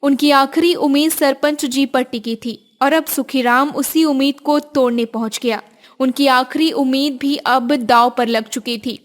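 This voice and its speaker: A woman's voice: high voice, fairly even intonation, normal speed. A woman narrator reading a scripted moral tale for a cartoon in storybook style.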